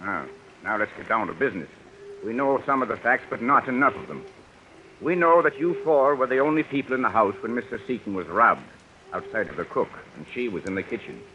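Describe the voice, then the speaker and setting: A man playing a hard-pressed police captain in an old radio suspense drama, American, questioning in a brisk, authoritative way. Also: medium pitch, expressive, pushed and bright. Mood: stern, impatient. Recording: muffled vintage radio, faint rain noise.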